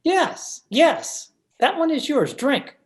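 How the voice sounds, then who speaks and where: A henpecked, scheming husband from a radio horror play, played by a man. He coaxes slowly and deliberately, repeating himself, his pitch sliding widely up and down. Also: sing-song, exaggerated voice. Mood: coaxing, sly. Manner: coaxing, deliberate, repetitive. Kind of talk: old-time radio horror acting.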